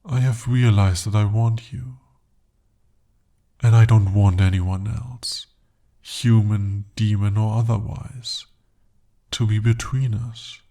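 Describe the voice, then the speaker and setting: A young man voicing a jealous, possessive male demon bound to a sorcerer, speaking softly and deliberately in an ASMR roleplay. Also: lowish voice, steady tone. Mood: jealous, coaxing. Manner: intimate, soft-spoken, slow and deliberate.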